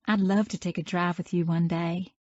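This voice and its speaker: A man's voice: sits high, steady tone, normal speed, no echo, highs cut off, pitched above the performer's own voice, close to an everyday voice. A man putting on a sassy teenage girl.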